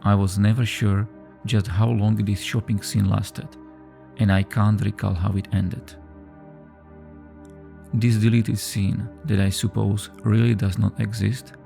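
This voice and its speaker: A man reading a scripted horror story as a narrator, in a measured, subdued storytelling manner. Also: lowish voice, vocal fry, dark tone. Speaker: creepypasta narrator. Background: background music.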